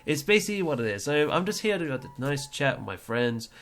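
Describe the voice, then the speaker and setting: A man's voice: fairly high pitch, big pitch swings, fast talker, soft background music. A man hosting a casual livestream podcast, rambling and teasing as he introduces his friends. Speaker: podcast host.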